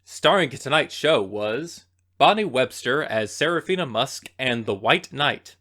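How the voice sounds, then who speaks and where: A male announcer with a bright, forceful tone and a mid-range pitch. He delivers scripted credits in a clear announcing style.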